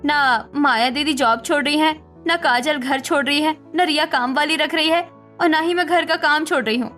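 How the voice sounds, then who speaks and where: A woman plays an aggrieved young daughter-in-law in a cartoon family drama. Her voice is high, emphatic and plaintive, standing up for herself over faint background music. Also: moderate pace, fairly bright tone. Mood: reassuring. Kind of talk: Hindi cartoon family melodrama acting.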